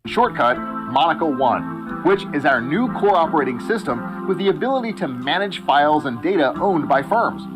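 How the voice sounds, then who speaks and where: A man's voice: on the high side, lively intonation, normal speed, close to an everyday voice, low-quality recording. A man playing a formal, explanatory tech company presenter giving a scripted product presentation. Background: music.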